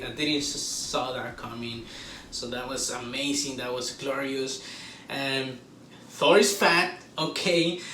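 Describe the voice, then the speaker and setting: A man hosting a casual, unscripted movie review, talking like an excitable fan with drawn-out exclamations. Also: fairly high pitch, distant mic. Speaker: host of a movie review show. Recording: roomy echo, off-mic, faint background noise.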